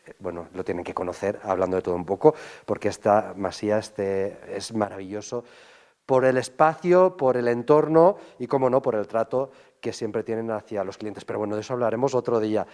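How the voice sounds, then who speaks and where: A man's voice: lowish voice, a little fast, darkish tone. A man conducting a conversational on-location television interview, speaking in an effusive, complimentary way.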